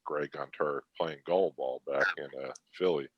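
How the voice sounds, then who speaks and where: A man's voice: very deep voice, measured pace, breathy edge, dry recording, highs cut off. A man who plays sports, chatting casually and reminiscing as part of a show.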